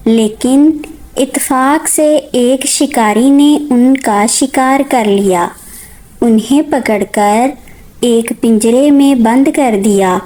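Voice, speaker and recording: A woman narrates a children's story as a storyteller. Her voice is on the high side. She takes the scripted lines at a very slow pace. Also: warm tone, low-quality recording.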